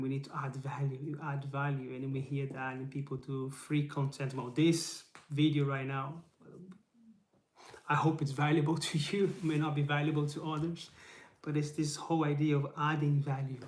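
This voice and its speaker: A man's voice: a little high, flat delivery, moderate pace, roomy sound. A man whose first language is Portuguese, speaking in English to camera on his channel, earnest and encouraging, asking rhetorical questions and often adding "right?". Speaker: YouTube channel host. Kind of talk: casual live motivational talk to camera. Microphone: camera mic.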